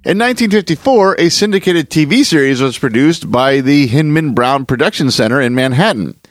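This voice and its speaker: A man's voice: a little high, animated, a little fast, no room sound, clean studio sound. A man hosting a podcast, reading a scripted introduction. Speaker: podcast host. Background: music.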